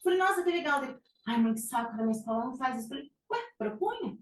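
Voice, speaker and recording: A woman's voice: high voice, expressive, rapid-fire. A middle-aged Brazilian woman giving an informal psychology talk, with frequent fillers and restarts. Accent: Brazilian Portuguese. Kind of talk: conversational lecture presentation. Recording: echoey room, distant mic, faint noise.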